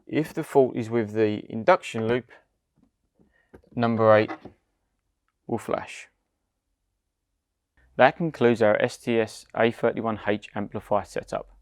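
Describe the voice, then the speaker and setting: A British man, around his forties, giving scripted step-by-step product instructions in a measured, deliberate way. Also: fairly low pitch, steady tone, no echo, studio quality. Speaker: product demonstrator.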